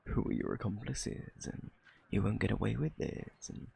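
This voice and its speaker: An actor playing a smug, flirty thief in a British accent, teasing and coy, in an ASMR roleplay. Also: male voice, on the low side, normal speed, vocal fry, a little mellow, slightly rough, exaggerated voice. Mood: teasing, pouty. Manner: teasing, drawling, theatrically coy.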